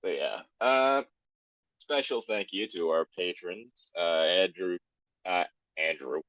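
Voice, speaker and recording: A man's voice: low voice, slow, a bit bright, dry recording, lo-fi sound. A man hosting an online show in casual, rambling banter, self-deprecating and prone to swearing.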